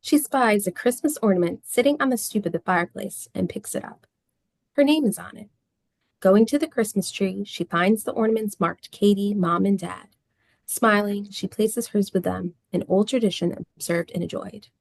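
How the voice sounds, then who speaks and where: Casting: female script narrator, mid-range with noticeable fry, little room sound. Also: medium pitch, moderate pace, no echo, low-quality recording. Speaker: stage-direction narrator.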